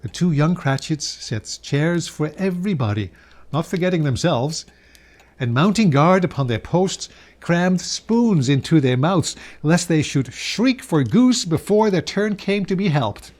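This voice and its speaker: A man giving a dramatic storybook reading of a Victorian Christmas tale, savoring the words with expressive pauses. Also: fairly high pitch. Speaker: storyteller reading a classic novel aloud.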